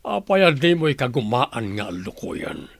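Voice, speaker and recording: A man's voice: mid-range voice, huge pitch swings, measured pace, gravelly, husky, dry recording, clean studio sound, full character voice. An actor playing a concerned, patient family man in a radio drama, reasoning earnestly. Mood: reproachful. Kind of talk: Ilocano radio drama acting.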